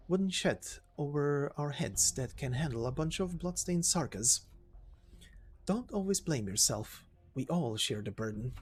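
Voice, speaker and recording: A man's voice: mid-range voice, darkish tone, slight background noise, natural voice. A man voicing a blunt, unsentimental female mercenary comrade in a game story, reproving yet supportive. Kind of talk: amateur game story voice acting. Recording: music behind the voice.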